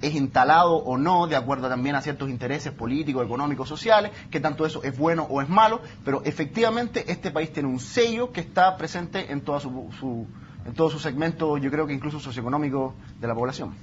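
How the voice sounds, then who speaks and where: A man's voice: fairly high pitch, brisk. A man speaking as a panelist in a discussion, colloquial and full of Chilean slang. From born outside Chile, living there about ten years. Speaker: youth panelist. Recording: dull sound with faint background noise.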